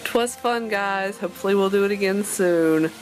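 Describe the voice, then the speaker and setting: A woman's voice: medium pitch, moderate pace, faint music behind, poor audio. A woman co-hosting a podcast in casual, chatty banter. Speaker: podcast co-host.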